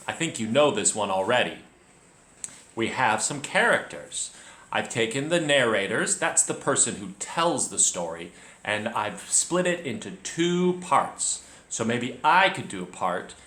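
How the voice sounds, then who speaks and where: Expressive, bright-voiced man teaching children to read. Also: mid-range voice. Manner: expressive, addresses the learner directly. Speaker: children's reading teacher, storyteller. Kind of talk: encouraging read-along lesson with calm, clear story narration. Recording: echoey room.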